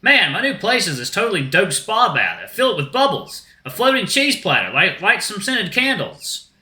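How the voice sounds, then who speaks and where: Man voicing a high, upbeat surfer-dude shark. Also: big pitch swings, normal speed, sharp tone, reverberant, full character voice. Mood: chilled, enthusiastic. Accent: put-on Australian. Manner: slangy surfer drawl full of "like" and "dude".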